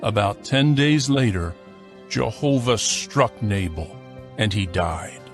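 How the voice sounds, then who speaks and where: A man narrating a dramatized scripture reading in a measured, formal, scripted style. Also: fairly low pitch, animated, music in the background.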